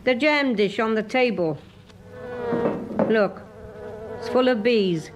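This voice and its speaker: An actress playing a middle-aged, sharp and jealous nagging wife in a radio drama, querulous and scornful, with a British accent. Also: female voice, a little high, animated, slow, background noise. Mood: complaining.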